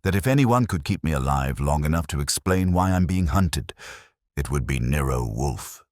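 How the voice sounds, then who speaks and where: A determined woman in her forties as acted by a man in a detective audiobook. She is grave and insistent, with lively swings in pitch. The recording is dry and close. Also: normal speed, dry recording. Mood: grave, urgent.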